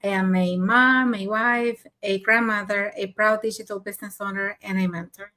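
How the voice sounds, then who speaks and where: Older woman from Mexico, welcoming, bright forceful tone, mid pitch. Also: steady tone, normal speed. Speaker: panelist.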